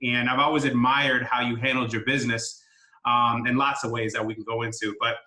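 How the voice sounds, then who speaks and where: A man's voice: mid-range voice, little pitch movement, fairly quick, bright, forceful tone, echoey room, rough recording. A man hosting a casual sit-down interview in a pizzeria, chatty and friendly with lots of fillers. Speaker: host.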